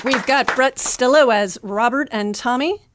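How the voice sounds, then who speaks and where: Female show announcer, fairly high voice, scripted sign-off delivery, noisy live bookstore recording. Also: measured pace. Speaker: host and announcer. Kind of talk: scripted closing credits announcement.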